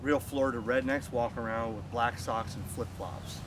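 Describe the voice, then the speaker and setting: A man's voice: mid-range voice, fairly even intonation, normal speed. A middle-aged American man talking casually and chattily, as an amateur radio vlogger demonstrating gear. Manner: casual, chatty, spells call signs phonetically. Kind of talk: casual demonstration to camera with radio contact calls. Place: outdoors in a grassy yard by a pond. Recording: loud outdoor ambient noise.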